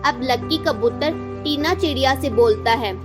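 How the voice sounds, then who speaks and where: A woman narrates a children's story from a script. Her voice is high, and soft music runs underneath. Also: normal speed.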